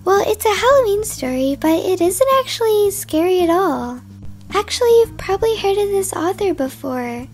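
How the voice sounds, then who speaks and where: A female performer voicing a cheerful, kind woman schoolteacher in a children's animated roleplay, speaking in an upbeat, instructive way. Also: very high-pitched, moderate pace. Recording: music behind the voice.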